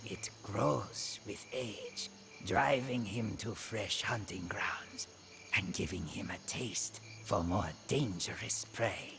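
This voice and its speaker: A man's voice: mid-range voice, vocal fry, noisy background. An actor playing a proud, brooding, ambitious Burmese warrior king, narrating his reign solemnly and grandly in a storybook game cutscene. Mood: weary, reflective.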